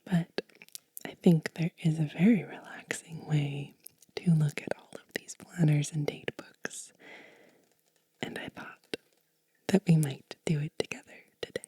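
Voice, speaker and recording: Woman, breathy ASMR whisper, lowish pitch, slow gentle pacing, soothing show-and-tell delivery. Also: steady tone, dry recording. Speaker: host of a witchcraft ASMR channel.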